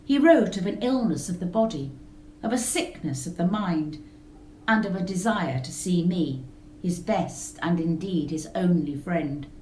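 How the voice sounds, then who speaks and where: A woman narrating a gothic story in a measured, expressive reading. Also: mid-range voice, reverberant, slight background noise, low-quality recording. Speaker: reader of a story. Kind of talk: scripted narration of a gothic tale.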